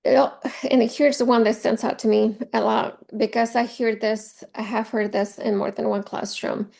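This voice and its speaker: A woman's voice: fairly high pitch, flat delivery, normal speed, creaky, a little mellow. A woman in her forties, a native Spanish speaker with a Spanish accent, presenting informally to teachers as a trainer and repeating phrases for emphasis in a conversational way. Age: 40s. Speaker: teacher trainer leading a professional development session.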